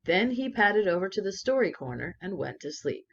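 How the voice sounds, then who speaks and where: A woman reading a children's story aloud expressively with deliberate emphasis, on slightly dull lo-fi sound. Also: medium pitch, big pitch swings, slight background noise.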